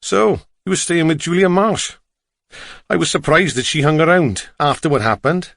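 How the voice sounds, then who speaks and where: A man's voice: a little high, normal speed, vocal fry, a bit bright, no echo, clean studio sound, pitched above the performer's own voice. A man voicing a chatty, curious middle-aged taxi driver with a Welsh accent in an audiobook. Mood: grave, gossipy. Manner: chatty, lilting, inquisitive.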